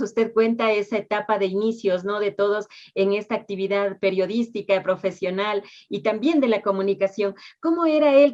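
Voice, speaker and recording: A woman conducts an interview, asking polite questions that guide the guest. Her voice is mid-pitched. The sound is muffled and slightly lo-fi. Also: a little mellow. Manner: courteous, leading questions. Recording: muffled lo-fi recording.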